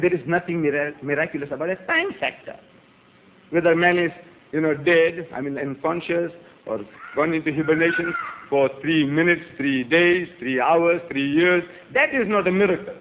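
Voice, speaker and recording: An emphatic man whose first language is Gujarati lectures a live audience, hammering his points with repeated rhetorical questions. His voice sits high and jumps from loud peaks to quiet asides. The recording is thin and tinny, like a phone line. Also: a little hiss or hum. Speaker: public debater.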